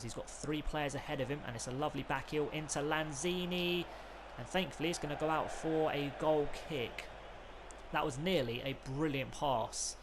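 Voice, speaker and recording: A man, who has a cold, doing excited play-by-play commentary over a football video game. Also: fairly high pitch, slight background noise. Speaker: gaming YouTuber.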